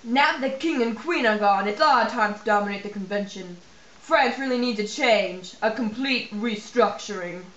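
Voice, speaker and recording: A woman's voice: medium pitch, normal speed, fairly bright tone, close to an everyday voice. A woman voicing a scheming, gloating male revolutionary tyrant in an amateur school puppet show. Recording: echoey, dull, slightly noisy amateur recording.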